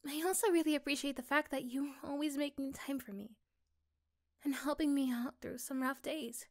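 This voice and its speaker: A woman playing an affectionate, reassuring young girlfriend in a soft, gentle ASMR roleplay. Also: high voice. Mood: tender, grateful. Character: affectionate, reassuring young girlfriend chatting intimately to her partner.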